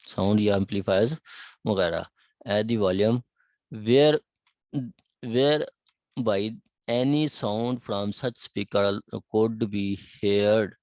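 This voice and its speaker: A man with a Pakistani accent explaining a law text, reading the English wording slowly and then explaining it in his own words with frequent check-ins. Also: on the low side, a little mellow, dry recording. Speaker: law lecturer.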